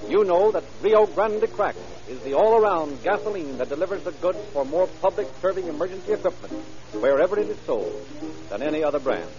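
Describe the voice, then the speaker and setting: Male vintage radio announcer, slightly high voice, polished folksy selling, muffled recording with music. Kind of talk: 1930s radio commercial announcing. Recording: dull old radio recording, music behind.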